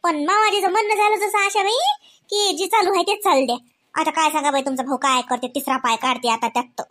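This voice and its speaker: A woman's voice: high voice, fairly even intonation, fast, a bit bright. A woman voicing a chatty, unpolished village sister-in-law in a comic cartoon, with a Varhadi Marathi accent and a folksy, rambling, word-searching manner. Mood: chatty, explaining.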